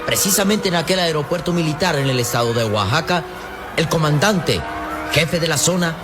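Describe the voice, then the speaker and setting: A man with a Latin American Spanish accent narrating an old-fashioned radio serial dramatically, solemn and suspenseful, with deliberate phrasing and pauses. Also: on the high side, animated, over music, lightly acted. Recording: old radio drama with storm noise behind.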